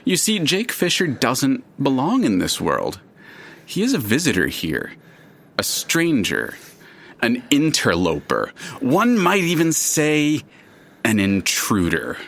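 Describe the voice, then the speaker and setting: A man playing a chatty, curious, all-knowing narrator in an audio drama, with a dramatic and deliberate storytelling manner. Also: medium pitch, huge pitch swings, creaky, fairly bright tone, heavily acted. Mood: theatrical, wondering. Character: chatty omniscient male narrator, theatrical and curious.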